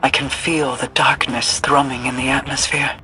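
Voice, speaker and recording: An actor playing a lofty, scholarly mystic warlock in a video game, speaking formally and portentously. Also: male voice, medium pitch, level tone, moderate pace, bright, forceful tone, soft background music. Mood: commanding. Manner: formal, portentous, precise wording. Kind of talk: scripted video game voice acting.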